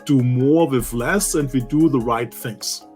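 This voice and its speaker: A man's voice: medium pitch, measured pace, a little mellow. Guy analyst cohost, opinionated, repeats stuff for emphasis, faint music under it. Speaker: co-host analyst. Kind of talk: casual talk-show chat.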